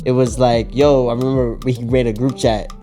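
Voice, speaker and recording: A man's voice: mid-range voice, moderate pace. A man producing music, chatting casually about making a song, rambling with fillers and slang. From Puerto Rican heritage. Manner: relaxed, rambling, lots of fillers and "bro". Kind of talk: casual chat.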